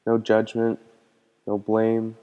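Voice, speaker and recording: A man leading a guided meditation as a teacher, speaking in a calm, gentle, unhurried way with pauses. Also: fairly low pitch, smooth, muffled recording. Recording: long hall-like reverb.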